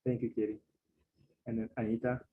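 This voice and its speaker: A man's voice: mid-range voice, little pitch movement, fairly quick, husky, phone-quality sound. A young man hosting a panel webinar, speaking steadily with frequent fillers over a video call. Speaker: webinar host and council president.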